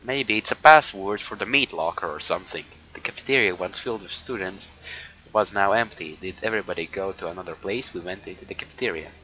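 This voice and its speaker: Low-voiced male streamer reading on a dull mic. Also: pushed and bright, a little hiss or hum. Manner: halting reading broken by muttered asides. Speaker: live streamer. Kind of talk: reading a visual novel aloud with casual reactions.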